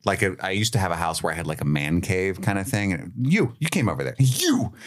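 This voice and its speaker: A man co-hosting a podcast, talking in relaxed, rambling casual chat with jokes. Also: sits low, brisk. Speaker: podcast co-host.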